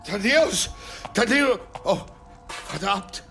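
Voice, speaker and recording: An actor playing an excitable gatekeeper monk in a radio drama, agitated and faltering. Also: male voice, high-pitched, measured pace, creaky, faint music behind, heavily acted. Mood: breathless, alarmed. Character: excitable, frightened monk guarding the monastery gate. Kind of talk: German radio drama acting.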